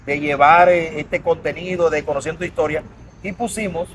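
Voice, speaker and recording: High-voiced male interview host. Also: normal speed, poor audio. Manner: animated, promotional introductions. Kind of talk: conversational interview. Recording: outdoor traffic noise.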